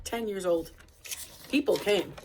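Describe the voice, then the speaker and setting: A woman reading a picture book aloud as narrator, with deliberate, expressive phrasing. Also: medium pitch, slight background noise. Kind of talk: read-aloud storybook narration.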